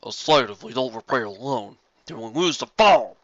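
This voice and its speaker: A reader voicing a big angry farm stallion with a Southern accent who growls and yells. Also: medium pitch, animated, moderate pace, no room sound, highs cut off. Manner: growling, yelling.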